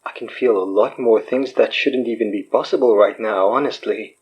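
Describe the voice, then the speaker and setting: A low, husky male voice as a wry, self-deprecating man in an audio drama. He rambles and jokes in a natural, conversational way. The sound is slightly dull. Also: normal speed. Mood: hesitant, wondering. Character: wry, self-deprecating man, recently freed from possession, fake guru healer.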